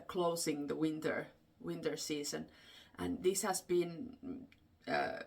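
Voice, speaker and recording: A woman with a Finnish accent speaks in a low register with plenty of vocal fry. She tells folklore without a script, explaining as she goes. Her delivery is halting, with frequent pauses between short phrases. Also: fairly bright tone. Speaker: storyteller and host. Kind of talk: unscripted folklore storytelling.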